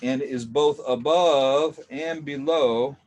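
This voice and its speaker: Chatty, relaxed male art teacher. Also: medium pitch, slow pace. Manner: relaxed, chatty, jokey asides. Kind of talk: casual drawing lesson.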